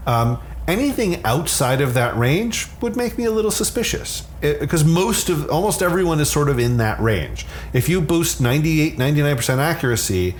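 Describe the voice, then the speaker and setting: Lively male expert over background noise. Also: medium pitch. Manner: hesitant starts, repeats words, candid. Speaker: sleep scientist, expert guest. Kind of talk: conversational expert explanation.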